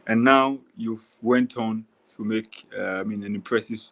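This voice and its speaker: A man's voice: on the low side, normal speed, fairly bright tone. A man with a Ghanaian accent, asking conversational questions as a sports interviewer, often repeating phrases as he forms them.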